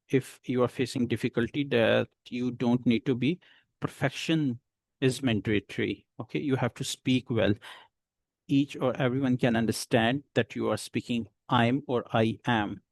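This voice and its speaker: A man teaching a beginner lesson, explaining slowly and patiently with many pauses. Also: mid-range voice, steady tone, no echo. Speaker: English teacher.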